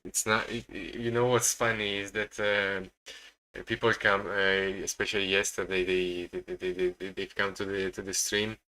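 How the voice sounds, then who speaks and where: A man from Italy with an Italian accent, giving casual live market commentary as a streamer, halting and often repeating himself as he searches for words. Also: low voice, flat delivery, sharp tone, poor audio. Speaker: day trading live streamer.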